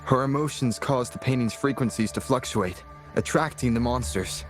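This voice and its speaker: A man plays a composed, analytical game ally with a medium-pitched voice. He explains things in a calm, measured way while music plays prominently behind him. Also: natural voice. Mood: puzzled, explaining. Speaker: game character. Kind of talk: video game voice acting.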